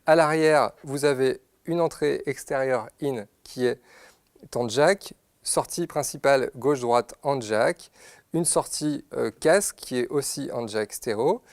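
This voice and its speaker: A man giving an unscripted, methodical product demonstration, pausing to find his words as he explains each step. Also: medium pitch, no room sound. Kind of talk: unscripted instructional product demo. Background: synthesizer demo sounds.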